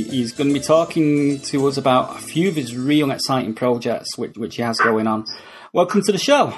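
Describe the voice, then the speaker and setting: A man hosting an interview show, hesitant with many ums and restarts. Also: mid-range voice. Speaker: podcast host.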